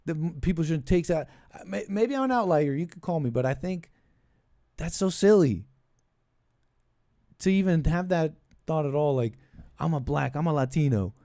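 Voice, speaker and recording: A man hosting a casual call-in show, thinking aloud in a halting, repetitive, meandering way. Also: a little high, expressive, dark tone, no echo, studio quality. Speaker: podcast and call-in show host. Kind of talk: rambling casual political commentary.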